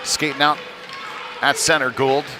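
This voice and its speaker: Male hockey play-by-play commentator, medium pitch, loose conversational delivery, recorded live with noticeable rink noise behind. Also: level tone, unhurried. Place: indoor ice rink during a game. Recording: noisy live arena broadcast.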